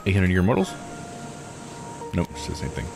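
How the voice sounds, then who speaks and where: A man streams a game with loose, informal commentary. His pitch moves around in a lively way, he is happy to swear, and music plays behind him. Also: moderate pace, vocal fry. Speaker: live streamer.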